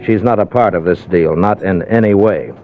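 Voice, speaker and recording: Man playing possessive schemer, 1950s radio acting, low pitch, terse, halting, noisy vintage sound. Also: lightly acted. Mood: guarded, serious. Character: guarded, possessive middle-aged man scheming a salvage fortune. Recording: dull, noisy old radio recording.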